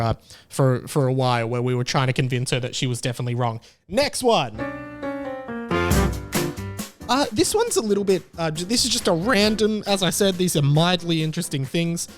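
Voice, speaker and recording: A young Australian man hosting a solo podcast, telling family stories with lively acted-out dialogue. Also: fairly high pitch. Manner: animated storytelling, acts out family dialogue. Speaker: podcast host.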